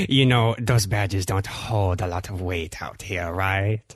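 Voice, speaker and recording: A man voicing a cagey alien shopkeeper and slave owner in a sci-fi audio drama, rough-voiced and theatrical, pleading and indignant. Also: low-pitched, dry recording. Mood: sly, mocking. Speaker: store clerk. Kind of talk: hard-boiled sci-fi audio drama acting.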